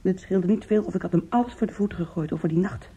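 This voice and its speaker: An actress playing an anguished young woman in a Dutch radio play, confiding in her lover with halting, intimate emotion. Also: fairly low pitch, mellow, husky. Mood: confiding, bitter. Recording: dull, muffled old radio recording.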